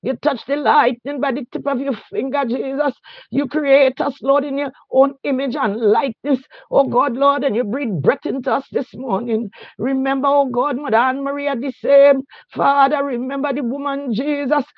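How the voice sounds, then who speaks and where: A man leading a spontaneous spoken prayer, pleading fervently and closing each phrase with a softer "oh God" or "Lord". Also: very high voice, steady tone, moderate pace, dry recording. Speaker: prayer leader. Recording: dull, dry radio recording.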